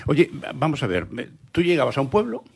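Husky-voiced male radio interviewer. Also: fairly high pitch, sing-song, brisk. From Galicia. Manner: friendly, prompting questions with short backchannels.

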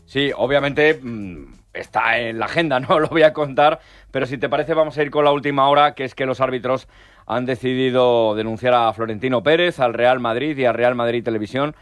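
A man reporting sports news on the radio, measured and agreeable, with many fillers and pauses. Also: mid-range voice, fairly even intonation, no echo, faint music behind.

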